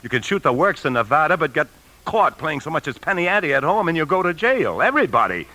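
A man's voice: fairly high pitch, very animated, pushed and bright. A man with an American accent playing a desperate, self-justifying husband in a 1950s radio drama, arguing emotionally. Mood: defiant, scoffing. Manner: argumentative, emotional, halting under strain. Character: desperate husband who stole from his bank, self-justifying. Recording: noisy old 1950s radio broadcast.

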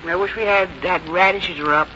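Brisk older American farm mother, old radio. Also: on the low side, pushed and bright. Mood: homely, bossy. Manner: homespun, matter-of-fact rural turns of phrase. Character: brisk, fussy older farm mother, fond but bossy. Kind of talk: 1950s American radio drama acting. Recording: muffled, noisy old radio broadcast recording.

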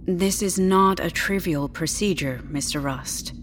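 Woman acting a low-voiced, polite clinic doctor. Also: slight background noise, close to an everyday voice. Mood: businesslike. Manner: measured, hesitant, politely professional. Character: polite, clinical, tactfully condescending female bioclinic doctor. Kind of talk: audio drama dialogue.

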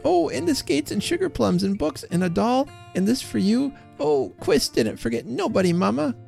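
A man acts out an eager little child from a storybook, pitched well above his natural voice. The child is earnest and excited, insisting breathlessly in childish grammar, with soft music behind. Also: normal speed, gravelly, exaggerated voice. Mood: eager insistence.

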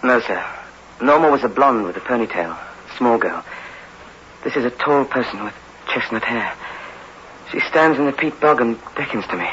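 An actor playing a frightened young prisoner in a 1960s radio drama, anxious, deferential and halting as he pleads. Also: medium pitch, expressive, a bit bright, lightly acted. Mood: haunted fear. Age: young adult. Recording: muffled, noisy old radio recording.

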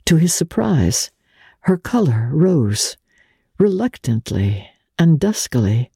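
A woman giving a dramatic reading of literary fiction as an audiobook narrator, with measured, expressive and deliberate phrasing. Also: low-pitched, gravelly, breathy edge, no room sound, studio quality.

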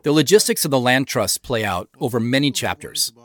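A man giving scripted director's commentary in a measured, explanatory manner into a close mic. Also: mid-range voice, lively intonation. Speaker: show creator and commentator.

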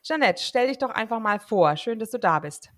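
A woman with a fairly high voice hosts an interview podcast. She speaks conversationally, introducing and prompting a guest. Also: lively intonation, normal speed. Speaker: podcast host.

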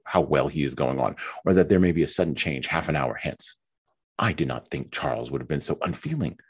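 A petulant, self-pitying young mother, put on by a male reader, complains in a high, swooping voice. The tone is husky and gravelly underneath, and the delivery is whiny and fretful, full of sighing grievance. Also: darkish tone, heavily acted. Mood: whining.

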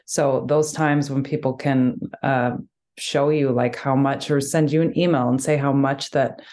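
Woman interviewer, low voice, encouraging and conversational. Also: steady tone, measured pace, darkish tone. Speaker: podcast host. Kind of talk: casual interview chat.

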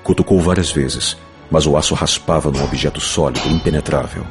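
Very deep-voiced male fantasy narrator. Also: a little fast. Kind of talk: dramatic scripted narration of a fantasy novel. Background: music.

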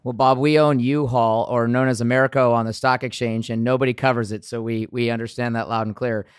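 Smooth, dry-voiced male podcast host. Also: medium pitch, level tone, dry recording. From US West Coast. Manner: measured, asks long framed questions. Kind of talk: podcast interview.

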